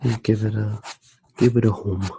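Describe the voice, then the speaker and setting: A man softly narrating his drawing for ASMR, hushed, unhurried and musing as he works. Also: lowish voice, level tone, background noise.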